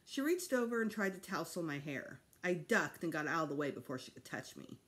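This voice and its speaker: A woman's voice: sits low, big pitch swings, fairly quick, fairly bright tone. A middle-aged woman reading a children's storybook aloud expressively at home, like a teacher. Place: small room at home.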